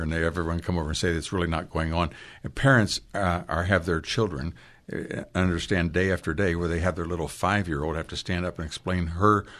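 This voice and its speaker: A man joining a talk radio discussion as a guest, speaking in an indignant but measured way. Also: low voice.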